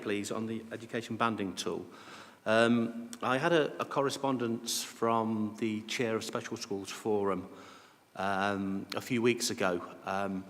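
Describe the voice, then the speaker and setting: Polite chairman with a low voice, echoing. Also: fairly bright tone. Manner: polite and brisk, handing over the floor. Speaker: meeting chair. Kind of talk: chairing a formal meeting.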